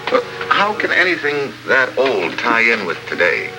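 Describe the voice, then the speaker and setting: An actor playing a laconic American government agent in 1950s radio drama, with a dry, hard-boiled delivery. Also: male voice, medium pitch, sing-song, normal speed, lightly acted, poor audio. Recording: vintage 1950s radio with music.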